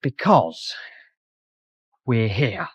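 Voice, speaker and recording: A man's voice: on the low side, sing-song, extremely slow, husky, no room sound, exaggerated voice. An actor playing a blunt, defensive, grumbling young male police constable with a British accent in a radio drama. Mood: irritable, defensive.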